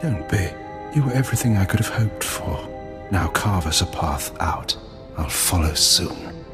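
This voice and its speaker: An actor playing a defiant, fatalistic rebel leader in a game cutscene, grave and sardonic. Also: male voice, low-pitched, expressive, unhurried, long reverb tail. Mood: tender. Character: defiant rebel leader, middle-aged man, proud and fatalistic. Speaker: villain. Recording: game cutscene with loud music.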